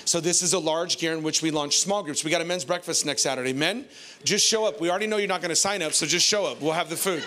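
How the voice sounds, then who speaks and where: A man in his forties with an American accent preaching an informal, animated, conversational sermon into a handheld microphone on a church stage. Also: a little high, fast. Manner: conversational, animated, colloquial, repeats phrases for emphasis. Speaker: pastor.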